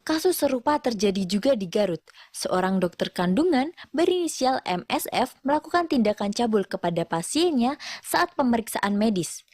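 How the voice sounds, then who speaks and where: A female reporter with a moderately high voice. She narrates a scripted television news package, reading in full, formal sentences. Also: very animated, normal speed, a little mellow.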